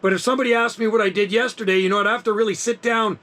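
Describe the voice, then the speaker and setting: A man in his sixties chats cheerfully over an amateur radio link. His voice is high and bright, and he tells his stories in an upbeat way. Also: fairly even intonation, racing. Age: 60s. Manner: chatty, upbeat storyteller. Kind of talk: casual radio chat.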